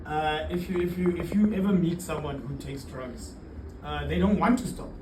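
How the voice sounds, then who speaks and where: Higher-voiced man in a noisy, echoey room. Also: moderate pace. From Zimbabwe-born, raised in the UK and South Africa. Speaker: teacher, interview guest. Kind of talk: casual interview answers. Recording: roomy, distant mic, clearly noisy.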